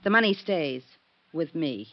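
An actress playing a scheming, greedy femme fatale in a 1950s radio drama, cool and commanding. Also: female voice, deep voice, expressive, measured pace, no echo, heavily acted. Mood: cold. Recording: dull dry old radio broadcast.

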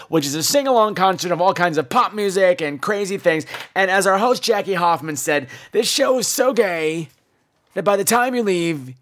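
A man hoarse from laryngitis, hosting a casual comedy podcast in a cheeky, theatrical way. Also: high-pitched, a bit bright. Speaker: podcast host.

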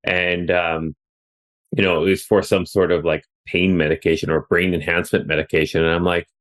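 Brief: Canadian male podcast host, very low voice, chatty, lots of "you know". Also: level tone, normal speed. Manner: chatty, loose, many fillers and restarts. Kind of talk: conversational interview. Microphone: remote call.

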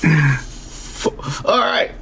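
A man's voice: fairly high pitch, big pitch swings, extremely slow, fairly bright tone, slight background noise. A man hosting a podcast in loose, profane, rambling banter with his co-host. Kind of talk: casual podcast chat.

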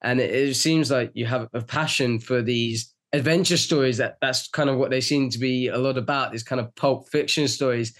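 A man's voice: medium pitch, fairly even intonation, normal speed, hardly any pauses, fairly bright tone. A man hosting a casual interview as the interviewer, in a friendly, encouraging manner. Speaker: interviewer, story writer. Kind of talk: casual behind-the-scenes interview.

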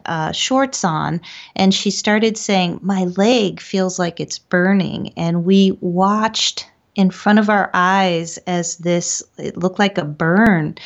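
A woman's voice: lowish voice, vocal fry, highs cut off. A woman recounting events conversationally as a guest in an interview. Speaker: podcast guest, paranormal investigator.

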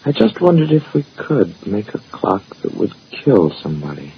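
A man's voice: fairly low pitch, wildly swooping pitch, normal speed. A man playing a slyly scheming young clockmaker's son in a 1940s-style radio drama. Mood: sly, casual. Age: young adult. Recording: muffled, hissy old radio recording.

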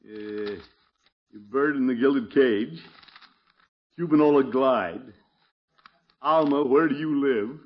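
An actor playing a hearty, blustery middle-aged small-town commissioner in a 1950s radio comedy, with an exclamatory manner. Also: male voice, mid-range voice, slow pace, pauses often, close to an everyday voice. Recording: dull lo-fi old radio recording, music.

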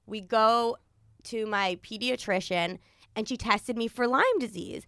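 Young American woman, bright forceful voice, fairly high, casual rambling storyteller, animated, dry studio sound. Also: measured pace, clean tone, no room sound. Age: young adult. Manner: animated, rambling, acts out quoted dialogue. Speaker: podcast host. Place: podcast studio.

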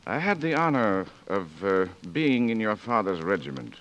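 A man playing a courtly, melancholy gentleman gambler of the Old West, formal and gallant, in 1940s radio acting. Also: lowish voice, sing-song, normal speed, muffled recording. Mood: gallant, wistful.

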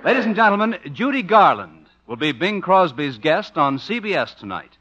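A man's voice: high-pitched, big pitch swings, normal speed, a bit bright, dull audio. An American man reading scripted announcements as a 1950s network radio announcer. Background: music.

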